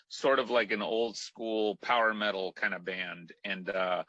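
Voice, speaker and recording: A man's voice: fairly low pitch, pushed and bright, no echo, low-quality recording. A man from Mexico, a Spanish speaker, chatting loosely as an interview guest with many fillers and restarts. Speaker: guest musician. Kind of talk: casual interview chat.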